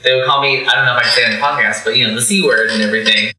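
A young man, a drag performer, talking candidly on a podcast with lots of fillers and animated storytelling. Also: mid-range voice, rapid-fire, far from the mic, over a noisy crowd. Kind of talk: casual podcast conversation. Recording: echoey room, away from the mic.

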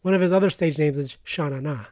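Woman, slightly low voice, podcast co-host, casual banter, dry sound. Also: fast, darkish tone, no room sound. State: tired, yawning.

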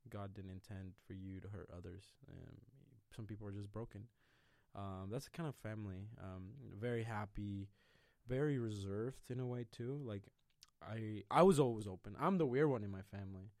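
A man's voice: fairly low pitch, normal speed, a little mellow, dry recording, clean studio sound. A young man from Mexico, co-hosting a podcast in loose, joking chat, often laughing and trailing off. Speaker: podcast co-host. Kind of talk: casual chat.

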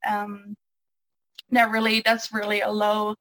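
A woman's voice: mid-range voice, monotone, slow, a bit bright, no echo, low-quality recording. A woman, answering interview questions casually with frequent ums and restarts. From Netherlands. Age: 20s. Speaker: interview guest. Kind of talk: casual interview over a call.

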